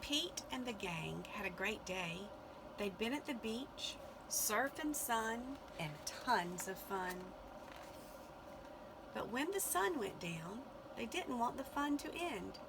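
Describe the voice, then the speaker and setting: A woman giving an expressive, deliberate children's read-aloud, in a noisy recording. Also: fairly high pitch, gravelly. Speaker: storybook reader.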